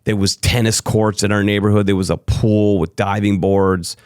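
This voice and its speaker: A man's voice: lowish voice, fairly even intonation, moderate pace, darkish tone, clear, resonant tone, dry recording, clean studio sound. A middle-aged man with a mixed East Coast and Midwest American accent, telling long personal stories as a podcast guest, rambling with frequent ums and restarts. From Chicago area, USA. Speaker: interview guest. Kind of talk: candid storytelling conversation.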